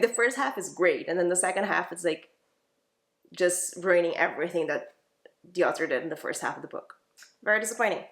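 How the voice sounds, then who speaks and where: A woman's voice: mid-range voice, a bit bright. Woman book vlogger in an echoey room. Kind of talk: casual chatty book review to camera.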